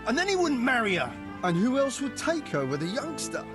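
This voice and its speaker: An indignant medieval peasant father in a game cutscene. His voice sits high and swings widely as he complains bluntly. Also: huge pitch swings, a little fast, over music, heavily acted. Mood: rueful, aggrieved. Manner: indignant, grumbling, colourful insults. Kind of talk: video game cutscene acting.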